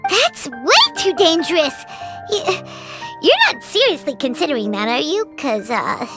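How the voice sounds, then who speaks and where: A woman's voice: high-pitched, wildly swooping pitch, measured pace, exaggerated voice. A woman voicing a tiny, chatty fairy companion who refers to herself in the third person, in a game cutscene. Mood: excitable. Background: game music.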